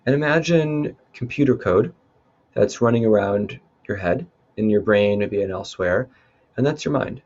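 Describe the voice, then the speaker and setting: A man leading a guided meditation in a calm, unhurried, instructive way. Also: on the low side, dull audio. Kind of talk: guided meditation, spoken softly to listeners.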